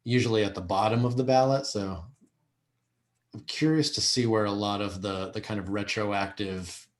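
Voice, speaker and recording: A man speaking casually as a guest on a video call, thinking aloud in halting, unfinished phrases. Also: on the low side, steady tone. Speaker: guest speaker, baseball history researcher. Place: remote video meeting. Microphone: video call microphone.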